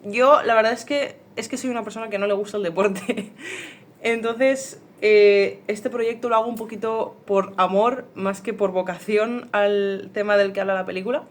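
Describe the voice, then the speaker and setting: A woman's voice: medium pitch, steady tone, normal speed. A woman with a Castilian accent from Spain, talking casually as a voice actor being interviewed. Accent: Spanish (Spain). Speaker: amateur voice actor.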